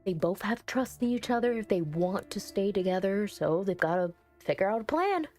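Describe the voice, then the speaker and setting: A woman doing let's play commentary on a game, reading its text aloud and reacting with animated exclamations. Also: medium pitch, moderate pace, faint music behind. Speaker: video game streamer.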